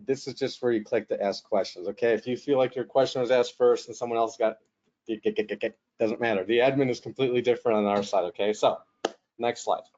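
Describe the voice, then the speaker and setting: A man's voice: medium pitch, fairly even intonation, quick pace, darkish tone. Joking man hosting a webinar over a call. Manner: joking, rambling with frequent fillers. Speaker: webinar host and moderator. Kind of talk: casual, chatty webinar hosting. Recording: slightly muffled webinar audio.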